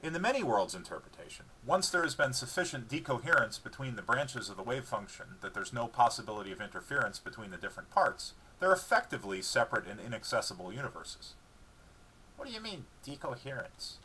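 A man's voice: mid-range voice, expressive, fast talker, hardly any pauses, sharp tone, slightly rough, rough recording. A man reading his own book dramatically, moving between narration and dialogue in a wry, explanatory way.

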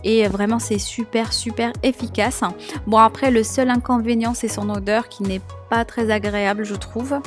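Young woman, vlog voice-over, slightly high voice, eager and hesitant, soft music bed. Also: fairly even intonation, normal speed. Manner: chatty, enthusiastic product tips with frequent hesitations. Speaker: home cleaning vlogger. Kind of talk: voice-over narration of a cleaning video.

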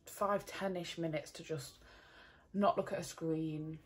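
A woman's voice: low voice, fairly even intonation, measured pace, soft background music. A young woman, chatting casually to camera in her bedroom, rambling with lots of fillers and laughs. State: slightly croaky morning voice. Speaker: vlogger. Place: bedroom at home, indoors. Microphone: camera on a desk in front.

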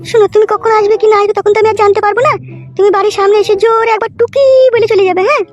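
A woman voicing a shrill, bossy village wife. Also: level tone, very fast, highs cut off. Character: jealous scheming village housewife. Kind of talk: cartoon voice acting.

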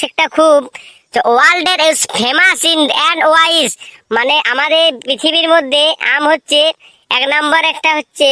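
A high, bright cartoon schoolchild, played by a woman, cheeky and eager to show off. The delivery is unhurried and muddled, like a proud classroom recitation in a village comedy. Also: no room sound, clean studio sound, full character voice. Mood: eager explaining. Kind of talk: comic cartoon skit dialogue and recitation.

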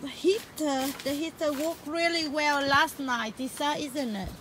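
A woman's voice: sits high, steady tone, takes it slowly, noisy background. A woman filming her family's camping trip, chatting cheerfully and switching between Vietnamese and English from behind the camera. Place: outdoor campsite among trees.

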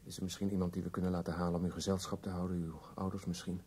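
A weary male police detective played by an actor in an old radio play. His voice is on the low side, grave and plain-spoken, with faint noise on the recording. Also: level tone, rapid-fire, darkish tone, close to an everyday voice. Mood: grave, consoling. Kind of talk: radio drama acting.

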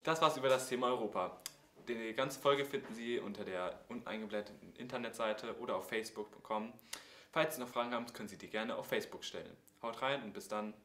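A young man presenting a youth news show and doing street interviews with a handheld mic, in a brisk, upbeat manner. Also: on the low side, a bit bright, reverberant. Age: young adult. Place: studio desk, then town square outdoors. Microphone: handheld reporter mic outdoors.

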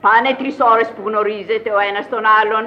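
An actress playing an eccentric, commanding old countess in a vintage radio play. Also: female voice, fairly high pitch, fairly even intonation, lightly acted. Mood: urgent, coaxing. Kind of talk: vintage Greek radio theatre acting. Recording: dull vintage radio recording, music behind.

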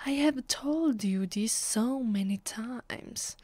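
Slow, whispering Italian-accented vampire mistress, acted by a woman. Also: a little high, warm tone, no room sound. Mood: haughty, guarded. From Italy. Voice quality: breathy, whispery. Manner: slow, deliberate, haughty. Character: proud, conflicted female vampire mistress of a castle. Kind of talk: whispered ASMR roleplay.

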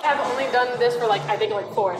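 A woman's voice: fairly high pitch, fast, a crowd in the background. A young woman hosting a casual gym vlog, playful and laughing often as she talks between sets in a large indoor gym. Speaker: fitness vlogger, host. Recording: roomy gym with loud music behind.

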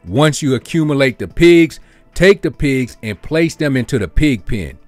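A man hosting his own channel, explaining an investing strategy step by step over a screen recording in a steady, explanatory way. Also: medium pitch, normal speed, dry recording, faint music behind. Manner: steady, explanatory, walks through figures step by step. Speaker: crypto channel host. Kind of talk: screen-share walkthrough narration.

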